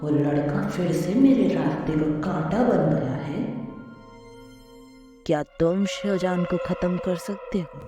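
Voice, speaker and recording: Woman as a slow, menacing villain commander. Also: lowish voice. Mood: cold, menacing. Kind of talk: dramatised story explanation. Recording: prominent music under the voice.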